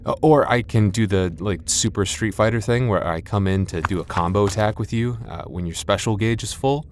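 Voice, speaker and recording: A man playing a timid, soft-hearted young knight in an ASMR fantasy roleplay, stammering nervously and putting himself down. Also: fairly low pitch, brisk, a little hiss or hum, lightly acted. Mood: flustered, eager. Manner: stammering, self-deprecating, nervous.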